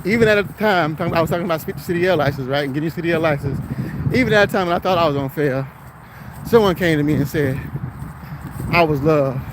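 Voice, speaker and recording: A man's voice: fairly high pitch, fast talker. An American man rambling casually on a livestream, repeating his phrases for emphasis in an encouraging, preacherly way. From South Florida, United States. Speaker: livestreamer and commercial driver. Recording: noisy outdoor wind and traffic noise.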